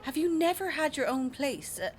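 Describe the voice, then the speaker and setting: A woman acting a radio news presenter in a radio play, formal, measured and politely inquisitive. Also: high-pitched, very animated, faint music behind. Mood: polite, probing. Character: polished, probing female radio news presenter.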